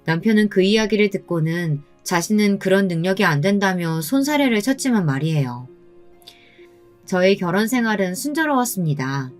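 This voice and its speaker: A woman narrates a scripted personal story with a medium-pitched voice and measured, polite delivery. Soft music plays behind her as she tells it in the first person. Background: background music.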